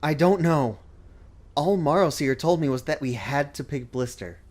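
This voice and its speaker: A man voicing a serious, thoughtful young dragon in an earnest, measured way for an animated fan dub. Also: on the high side.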